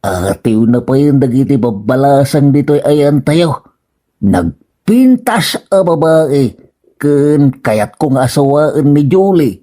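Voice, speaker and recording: A man playing a lovesick, gullible young villager who speaks haltingly, with a Filipino Ilocano accent, in a comedy drama. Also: mid-range voice, expressive, mellow. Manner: halting, hesitant.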